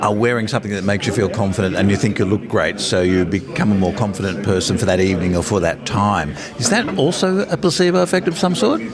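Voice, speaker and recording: A man's voice: on the low side, fast talker, hardly any pauses, music in the background. An Australian man hosting and interviewing in a casual, chatty, rambling and tongue-in-cheek way. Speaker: podcast host and interviewer.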